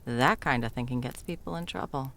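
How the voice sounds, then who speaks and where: A woman's voice: rumbling low, brisk, creaky, a bit bright, full character voice. A woman playing a bumbling, flustered female Christmas elf in a whimsical comedic audio roleplay, evasive and sarcastic, rambling and backtracking as she goes. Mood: indignant.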